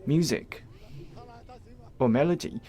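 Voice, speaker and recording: Halting Chinese-accented man interpreting live. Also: mid-range voice, dark tone. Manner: halting, piecemeal phrasing with repeated false starts. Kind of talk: live interpreting of event coverage.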